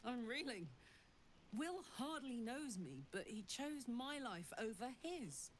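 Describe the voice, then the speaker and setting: A woman playing a blunt, warm, grateful tiefling warrior in a video game. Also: a little high, very animated, slow pace, slight background noise. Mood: moved, grateful.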